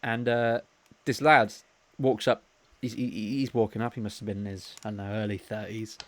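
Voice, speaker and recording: A man's voice: on the low side, little pitch movement, moderate pace, fairly bright tone, dry recording. A young man co-hosting a podcast, telling rambling holiday stories and laughing along as he talks. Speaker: podcast co-host. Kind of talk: casual storytelling chat.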